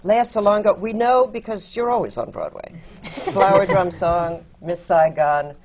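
A woman's voice: medium pitch, measured pace, a little mellow, a little hiss or hum. A woman moderating a theater panel discussion and introducing the guests one by one.

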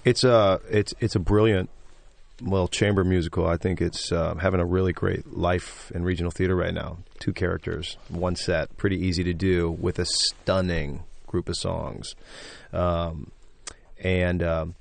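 An enthusiastic male stage actor speaks as an interview guest in a loud, somewhat braying voice. His voice is low, with a gravelly edge. The sound is dry, with no room around it. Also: steady tone, measured pace, no room sound. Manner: enthusiastic, effusive. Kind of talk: casual interview talk.

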